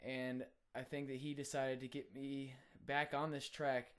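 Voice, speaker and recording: A young man, a college student, sharing a personal faith testimony in an earnest, reflective way with frequent filler pauses. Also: mid-range voice, flat delivery, clean tone. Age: young adult.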